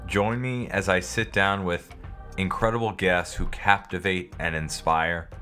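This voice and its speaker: A man's voice: deep voice, fairly even intonation, a little slow, bright, forceful tone, faint music behind. A man hosting a podcast, reading a scripted intro with a dry, self-deprecating joke and then asking questions casually. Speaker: podcast host.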